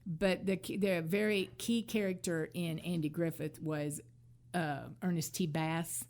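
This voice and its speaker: A woman's voice: on the low side, steady tone, measured pace, darkish tone. A woman co-hosting a podcast, chatting casually and thinking aloud about old television. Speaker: podcast co-host. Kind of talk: casual chat, nostalgic TV commentary.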